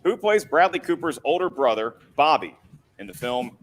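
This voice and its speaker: A man's voice: a little high, big pitch swings, moderate pace, poor audio. A man hosting a movie quiz, reading questions and counting down in an announcer style. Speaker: host.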